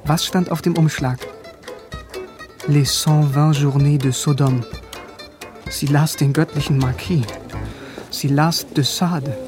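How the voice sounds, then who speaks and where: An actor playing a bookish young East German philosopher in a radio drama, narrating and talking with wry, musing irony. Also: male voice, fairly high pitch, fairly even intonation, slow, long pauses, over music. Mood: intrigued.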